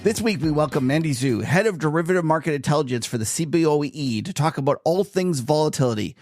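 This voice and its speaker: A man's voice: a little high, animated, music in the background. A man co-hosting a podcast and interviewing a guest, chatty and enthusiastic. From Canada. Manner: chatty, enthusiastic, quick to laugh. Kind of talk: casual podcast chat and interview.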